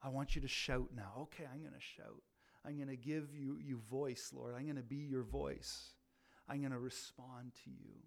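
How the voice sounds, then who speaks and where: A man who leads a ministry, talking about faith in a loud, animated way, often repeating words as he restarts. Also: mid-range voice, moderate pace, creaky. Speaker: ministry leader. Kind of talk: casual faith talk.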